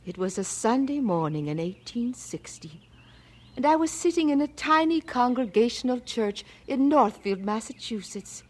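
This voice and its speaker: Elderly mother, eighties, husky, animated intonation, wistful storyteller, fond, radio-play acting. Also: fairly high pitch, normal speed. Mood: tender, nostalgic. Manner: wistful, gently dramatic storytelling with sighs. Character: frail, fond old missionary mother in her eighties, reminiscing.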